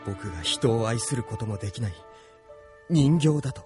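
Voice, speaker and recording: Male actor as troubled young sci-fi hero, mid-range voice, highly variable intonation, boyish sincerity, anime radio drama with music. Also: expressive, moderate pace, natural voice. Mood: bitter, brooding. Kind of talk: Japanese anime audio drama acting.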